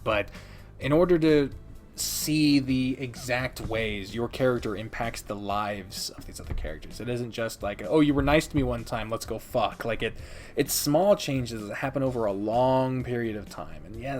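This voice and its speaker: Male game reviewer, mid-pitched, earnest and dramatic, sweary countdown commentary with music underneath. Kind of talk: enthusiastic video commentary, part scripted, part off the cuff. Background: background music.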